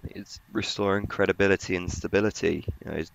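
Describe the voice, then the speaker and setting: A man with a very low voice explains markets as a journalist would. His intonation stays quite flat and his phrasing is halting. The audio is slightly dull and muffled. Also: creaky. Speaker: financial journalist. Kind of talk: conversational market analysis.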